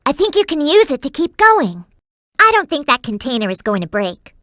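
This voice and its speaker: A woman's voice: high voice, sing-song, moderate pace, sharp tone, no echo, clean studio sound, heavily acted. A woman voicing a perky, earnest and bossy young girl companion in a video game, with emphatic delivery. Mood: helpful, curious. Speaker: in-game hint-giving companion.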